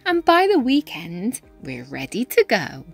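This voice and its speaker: A woman reading a children's picture book aloud in an expressive, animated manner with dramatic pauses and emphasis. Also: mid-range voice, gravelly, husky, soft background music. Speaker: read-aloud storyteller, teacher-style reader.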